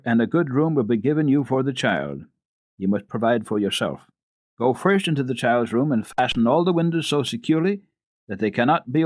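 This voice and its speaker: A man voicing a stern, commanding gentleman in a storybook, with firm, emphatic delivery. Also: medium pitch, no echo, lightly acted.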